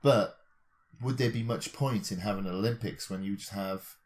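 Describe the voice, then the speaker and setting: A man with a low, deep voice chats as a podcast co-host. He works through speculative ideas in a discursive way, building on examples as he goes. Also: normal speed. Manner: discursive, reasoning aloud with examples.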